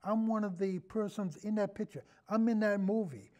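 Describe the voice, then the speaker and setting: A man's voice: high voice, normal speed, a little mellow. A man speaking as a podcast guest in a casual film discussion, rambling earnestly and repeating himself as he goes.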